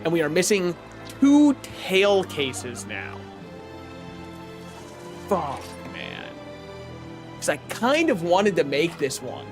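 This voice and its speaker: A young man streaming a game from his apartment, chatting casually in a rambling, self-mocking way with a booming voice. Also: huge pitch swings, takes it slowly, pauses often. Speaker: vtuber streamer. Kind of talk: casual live-stream chat while gaming. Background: faint game music.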